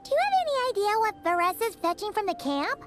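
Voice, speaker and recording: Video game sidekick: extremely high, squeaky little fairy girl, cheeky and bouncy, loud music underneath. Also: lively intonation, fast. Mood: curious, cheerful. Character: tiny childlike female floating companion, cheerful and cheeky.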